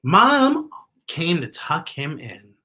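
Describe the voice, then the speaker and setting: Children's storyteller, lively swooping intonation, slightly raspy, expressive, chatty asides. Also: mid-range voice. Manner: expressive, exaggerated storytelling, pausing to point out pictures. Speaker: children's story-time host reading a picture book aloud. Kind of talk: animated read-aloud for children with asides to the listeners.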